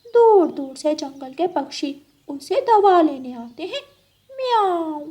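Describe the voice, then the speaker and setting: Sly female cat for kids' cartoon played by a woman: very high pitch, coaxing, falsely sweet. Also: very animated, slow, mellow, heavily acted. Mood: persuasive. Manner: coaxing, pleading, punctuated with meows. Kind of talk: children's animal cartoon voice acting.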